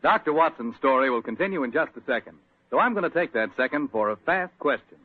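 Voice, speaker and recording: A man with an American accent, a radio announcer pitching and narrating in a folksy, conversational 1940s style. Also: animated, fast, dry recording. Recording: dry, muffled 1940s radio recording.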